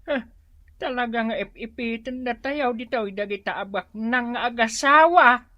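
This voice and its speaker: An actress playing a gossipy village neighbour in an Ilocano radio drama. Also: female voice, fairly high pitch, a little slow, pushed and bright, no room sound, dull audio. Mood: gossiping.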